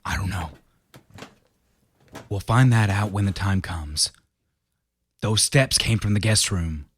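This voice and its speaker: An actor playing a haunted, frightened husband in an amateur radio horror drama. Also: male voice, on the low side, slow, breathy edge. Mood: tense, hushed. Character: haunted, frightened husband stalked by a dead man.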